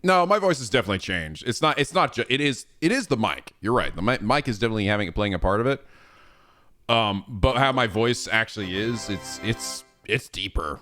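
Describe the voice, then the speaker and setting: A man's voice: fairly low pitch, lively intonation, a little fast, sharp tone, soft background music. A man in his thirties chatting casually on a livestream, rambling and swearing freely with frequent laughs. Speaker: streamer.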